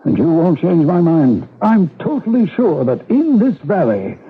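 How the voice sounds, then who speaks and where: A man's voice: a little high, big pitch swings, moderate pace, slight background noise. An actor playing a proud, obsessive old man, testy and theatrical in 1970s radio drama style. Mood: obsessed, determined. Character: obsessive, stubborn old husband, proud tomb hunter. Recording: tinny, band-limited old radio recording.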